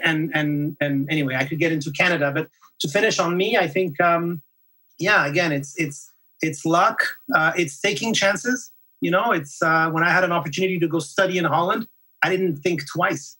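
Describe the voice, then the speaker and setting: A man's voice: fairly high pitch, sharp tone, breathy edge, rough recording. A man whose first language is French, a podcast guest talking reflectively, often restarting and repeating words. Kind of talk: conversational interview.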